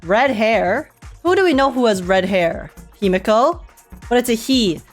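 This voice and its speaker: A woman's voice: on the high side, expressive, unhurried. A woman live-streaming a game with casual, playful, slangy reactions to what happens. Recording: faint game music behind.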